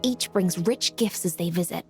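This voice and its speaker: A woman's voice: lowish voice, normal speed, natural voice. Woman as proud, frank young official, faint music. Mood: composed. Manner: crisp, assertive, formal. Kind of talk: video game voice acting.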